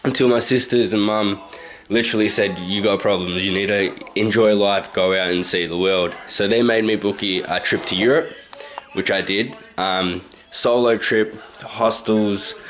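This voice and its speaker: A man's voice: lowish voice, fairly bright tone, low-quality recording. A man in his twenties with an Australian accent, telling a casual, rambling story to his handheld camcorder at home, correcting himself and chuckling often. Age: 20s. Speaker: vlogger. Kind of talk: casual story-time monologue. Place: his own house, indoors.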